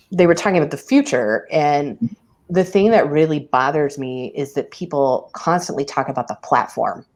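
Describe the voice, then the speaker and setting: Very low-voiced woman, candid and chatty. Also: lively intonation, measured pace, darkish tone. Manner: candid, laughs often, many ums. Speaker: public radio station director. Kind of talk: casual conversational interview.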